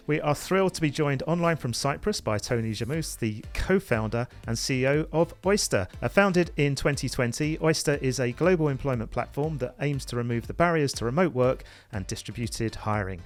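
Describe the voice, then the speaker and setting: A man hosts a business interview podcast with a voice of middling pitch. He reads a friendly introduction and puts questions to his guest. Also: expressive, fairly quick, few pauses. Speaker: podcast host. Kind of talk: interview hosting.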